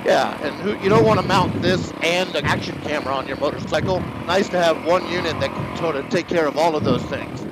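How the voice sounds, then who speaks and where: A man's voice: fairly high pitch, fairly quick, hardly any pauses. Man talking over a helmet intercom. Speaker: product reviewer riding a motorcycle. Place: outdoors on a motorcycle trail. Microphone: helmet intercom boom mic. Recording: intercom audio with loud wind and engine noise.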